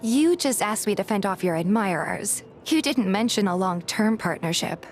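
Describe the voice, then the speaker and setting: A woman's voice: medium pitch, expressive, moderate pace, slight background noise. A woman reading a scripted first-person story as narrator and voice actor in a fan dub.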